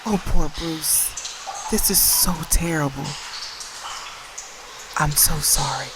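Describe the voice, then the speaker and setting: An actress playing a worried lover pleading earnestly and emotionally in a comic-book drama. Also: female voice, sits low, slow pace, heavy background noise. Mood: pitying. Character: worried, pleading adult woman, the vigilante's lover.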